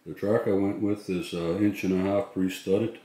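A man's voice: low-pitched, steady tone, normal speed, mellow, reverberant. A middle-aged man chatting casually to viewers as a vlog host, in an informal, hesitant way. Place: inside a moving truck and a garage.

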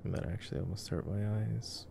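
A man giving casual, playful, wry ASMR commentary as a boyfriend watching a movie, with trailing pauses. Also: fairly low pitch, steady tone, vocal fry, background noise. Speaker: ASMR roleplay voice actor playing a boyfriend.

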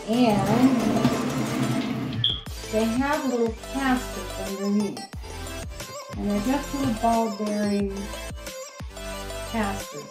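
A middle-aged woman vlogger casually walking through a home DIY project in a matter-of-fact, unhurried way. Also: medium pitch. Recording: roomy echo with music.

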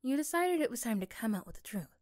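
A woman's voice: on the high side, sing-song, fast talker, a little mellow, no room sound, studio quality. A woman reading scripted story narration for an ASMR roleplay.

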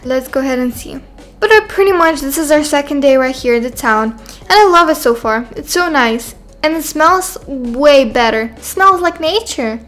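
A woman voicing an excitable, self-assured young girl in a game roleplay, chatting and thinking aloud dramatically. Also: high-pitched, moderate pace. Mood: cheerful musing. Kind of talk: voiced video game roleplay.

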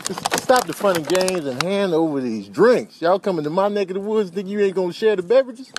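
A man's voice: high-pitched, lively intonation, fairly quick, a little hiss or hum. A man voicing a brash, chummy monkey with a casual, cheeky way of talking in a comedy dub. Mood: cheeky, demanding. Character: brash, chummy male monkey shaking down tourists.

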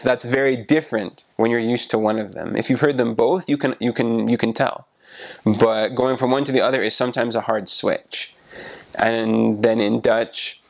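Young man with a gravelly voice. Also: mid-range voice. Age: 20s. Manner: relaxed, restarts often, gestures while talking. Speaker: returned missionary. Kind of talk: casual explanatory talk to camera. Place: small indoor room by a window. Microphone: lapel mic.

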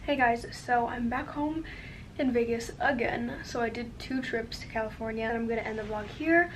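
A woman's voice: a little high, moderate pace, music in the background, rough recording. Bubbly young woman vlogging. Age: young adult. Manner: bubbly, gushing, upbeat. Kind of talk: casual vlog chat. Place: indoors and outdoors, car and rooms. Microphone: handheld camera at arm's length. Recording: camera mic with clear background noise.

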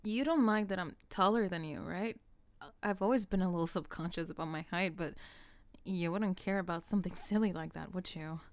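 A woman's voice: lowish voice, brisk, no echo, natural voice. A woman voicing a nerdy, earnest teenage tomboy in a scripted audio roleplay, casual, plain-spoken and a little awkward. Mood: awkward, shy. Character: nerdy, earnest, self-conscious tall teenage tomboy girl. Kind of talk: scripted ASMR roleplay acting.

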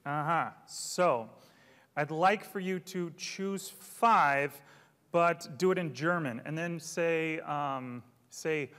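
A man's voice: on the high side, slow pace, fairly bright tone, clear, resonant tone. A man in his thirties presenting a product launch keynote on a large auditorium stage, upbeat and theatrical. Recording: long hall reverb.

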